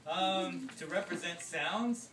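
In an echoey classroom, heard from far off, a man plays a puzzled, willing scholar in a puppet show. The voice is high for a man, hesitant and deliberate. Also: a bit bright, slight background noise. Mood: curious, hesitant. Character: deferential, puzzled royal scholar, willing pupil. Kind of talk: amateur puppet-show acting. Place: classroom, performers behind a draped table. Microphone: distant camera.

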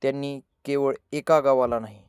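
A man tells a children's story as a scripted narrator. His voice sits at a middle pitch, and he sets out short phrases with measured drama. The recording is dry and close. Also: steady tone, clean tone, dry recording.

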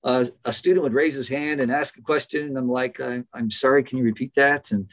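A middle-aged man, a biologist, talking casually as an interview guest over a video call from his office, relaxed and laughing often. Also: mid-range voice, brisk. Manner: relaxed, chatty, laughs often, some restarts. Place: office with bookshelf. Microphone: video call webcam.

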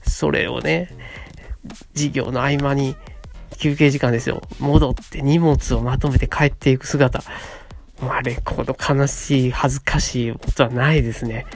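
A man's voice: medium pitch, fairly even intonation, unhurried, vocal fry. Young man chatting over background music. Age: around 30. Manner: polite, conversational, acts out short quotes. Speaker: radio co-host. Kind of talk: casual monologue, storytelling and pitching ideas.

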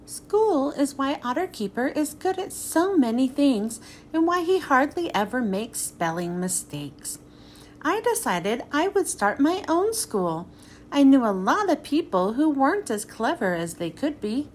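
A woman reading a children's picture book aloud in an expressive storytelling manner, then thanking her listeners. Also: high voice, a little slow, slight background noise.

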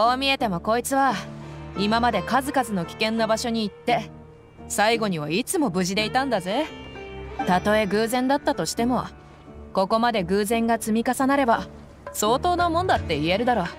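A woman's voice: fairly high pitch, animated, a little fast, close to an everyday voice. A woman playing a confident, easygoing young female desert mercenary in an anime game, with blunt tomboyish speech. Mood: amused, assured. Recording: music behind the voice.

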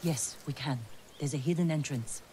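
A woman's voice: sits low, normal speed, background noise, close to an everyday voice. An actress playing a tough, pragmatic peasant woman in a dramatic video game, terse and matter-of-fact. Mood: guarded. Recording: game scene with loud music behind.

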